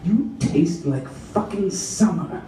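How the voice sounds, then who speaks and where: A man voicing a lustful, rough male lover from a romance novel, read aloud on stage. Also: high-pitched, lively intonation, slow pace. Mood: lustful, commanding. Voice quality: rasping, growling. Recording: echoey room from far off, audience noise.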